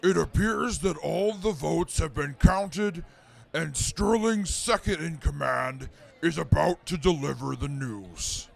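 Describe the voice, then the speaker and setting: A man's voice: fairly high pitch, lively intonation, slow pace, creaky, exaggerated voice. A man playing a boastful, hot-tempered super mutant reporter who talks stiffly and formally in a radio drama. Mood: tense, formal. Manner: stiff formal speech breaking into threatening outbursts. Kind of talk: post-apocalyptic radio comedy drama acting.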